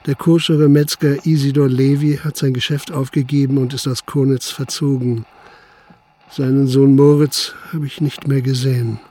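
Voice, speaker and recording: An actor playing a principled, dogged Prussian police commissioner in a German radio drama, formal and articulate. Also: male voice, medium pitch, little pitch movement, moderate pace, mellow, husky, lightly acted. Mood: sober, resigned. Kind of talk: German radio drama acting, dialogue and first-person narration.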